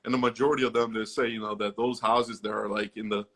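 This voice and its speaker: A man with a bright, forceful voice hosts a relaxed local business interview. He talks loosely, stacking fillers and restarting his sentences as he goes along. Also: lowish voice, quick pace. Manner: chatty, many fillers and restarts. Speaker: host. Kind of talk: casual interview.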